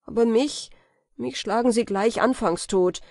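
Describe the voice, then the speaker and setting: Nervous young goldsmith journeyman, high and loud, plainly put on by a woman reader. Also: unhurried, no room sound, clean studio sound. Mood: anxious. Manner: fearful, pleading, rising to agitated protest. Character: anxious young male goldsmith apprentice. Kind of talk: audiobook character voice.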